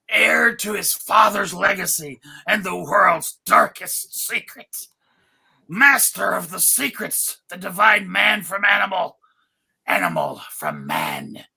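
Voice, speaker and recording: A man's voice: high voice, creaky, pushed and bright, breathy edge, exaggerated voice. A man playing a grandiose, grave 1980s TV announcer with bombastic, theatrical emphasis.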